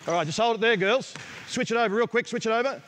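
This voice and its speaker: A middle-aged man with an Australian accent, coaching players in a gym with brisk, direct instructions. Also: very high-pitched, a bit bright, slight background noise. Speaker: basketball coach. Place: large indoor basketball gym. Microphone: headset mic.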